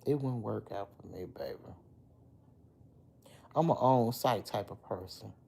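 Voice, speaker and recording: A middle-aged woman with a deep, slightly hoarse voice, chatting casually on a livestream and answering commenters. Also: unhurried, dark tone. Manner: chatty, talks back to commenters by name. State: a little hoarse. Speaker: livestream host. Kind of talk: casual livestream chat with viewers.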